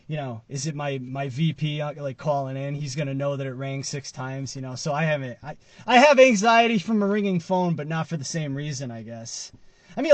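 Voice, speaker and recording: A man's voice: on the high side, a little mellow, no room sound. A man around his late thirties or forties giving casual, conversational news commentary to camera, with emphatic stresses. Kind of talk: casual commentary to camera, part read from an article. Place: inside a parked car.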